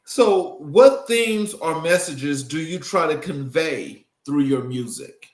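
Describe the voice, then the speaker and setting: A man hosting an interview, asking measured questions and encouraging the guest. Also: on the high side, lively intonation. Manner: measured, encouraging, frequent affirmations. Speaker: interview host. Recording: echoey room.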